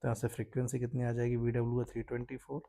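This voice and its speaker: A man's voice: mid-range voice, monotone, rapid-fire, vocal fry, mellow. A man with an Indian accent, a physics teacher explaining a worked problem step by step and checking understanding with short tags.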